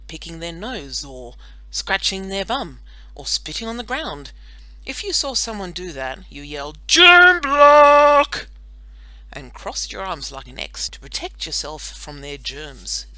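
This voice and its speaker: A woman reading a children's story as an audiobook narrator, in an expressive, playful and dramatic storytelling manner. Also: sits low, low-quality recording.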